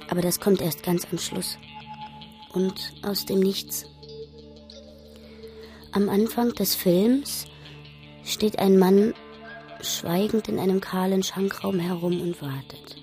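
An actress playing a reflective woman who narrates her memories in a measured radio drama style. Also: on the low side. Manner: measured, reflective, hushed at times. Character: reflective woman narrator recalling a film shoot. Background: faint music.

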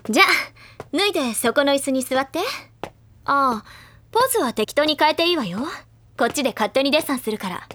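A woman's voice: sits high, expressive, quick pace, fairly bright tone, a little hiss or hum. An actress playing a confident, teasing schoolgirl painter in a Japanese audio drama, self-assured and playfully theatrical. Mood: gleeful, teasing.

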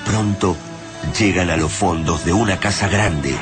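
A man's voice: low voice, a little slow. An Argentine man narrating a science fiction radio serial in a solemn, literary storytelling manner. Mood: grave narration. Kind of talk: scripted radio drama narration. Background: loud music.

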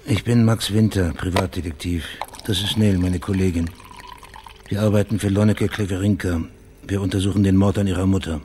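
Radio thriller: male actor as cool male private eye, low voice, probing and dryly sardonic. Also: fairly even intonation, a little fast, mellow, a little hiss or hum, close to an everyday voice. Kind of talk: naturalistic radio crime drama acting.

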